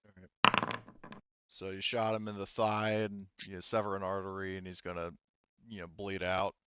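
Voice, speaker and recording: A man's voice: low-pitched, monotone, moderate pace, lo-fi sound, background noise. A man acting as game master, talking through rules and narrating a tabletop game casually, often thinking aloud.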